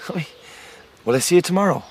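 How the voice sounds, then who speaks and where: A plaintive young Irish farm labourer in a radio drama. He pleads haltingly, his pitch rising and falling a great deal. Some ambience sits behind him. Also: animated, a little hiss or hum. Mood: resigned, pleading. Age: young adult. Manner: hesitant, pleading.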